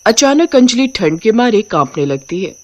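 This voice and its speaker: A woman narrating a scripted moral story as an expressive storyteller. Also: fairly high pitch, normal speed.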